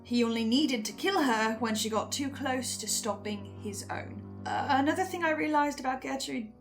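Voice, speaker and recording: A woman with a fairly high voice gives a reflective, earnest recap. She muses in short, halting phrases, with faint music underneath. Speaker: fan commentator playing a researcher.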